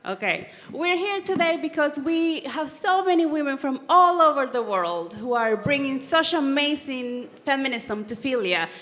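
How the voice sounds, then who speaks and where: A woman's voice: high voice, animated, moderate pace, hardly any pauses, a bit bright. A woman moderating a panel, introducing it informally to a live room. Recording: echoey hall, amplified from stage.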